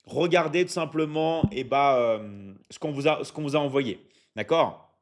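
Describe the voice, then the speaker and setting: Upbeat man pitching on a live stream. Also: moderate pace. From France. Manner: energetic, repetitive, drops many fillers and asks "ok? d'accord?". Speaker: online academy coach hosting a live stream. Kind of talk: live stream sales pitch reading chat.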